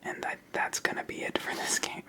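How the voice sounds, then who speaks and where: Whispered voice of unclear sex, soft and unvoiced, ASMR style, game commentary, channel host. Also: brisk.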